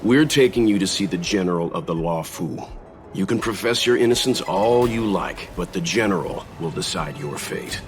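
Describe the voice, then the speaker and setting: An actor playing a serious, dutiful middle-aged man in a video game, measured, polite and firm. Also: sits low, expressive, faint music behind. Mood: stern. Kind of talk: scripted video game cutscene acting.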